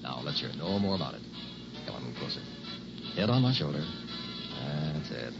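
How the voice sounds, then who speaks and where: An actor playing a confident, teasing newlywed husband, breezy and soothing, in an early sixties radio drama. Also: male voice, rumbling low, big pitch swings, normal speed, gravelly, exaggerated voice. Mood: breezy, reassuring. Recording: dull old radio recording, music behind.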